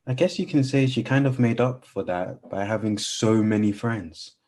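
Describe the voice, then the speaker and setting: A man's voice: on the low side, normal speed, darkish tone, lightly acted. A man playing an earnest, guarded best friend in a radio play, sometimes hesitant. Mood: amused, fond. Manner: earnest, hesitant, evasive when pressed.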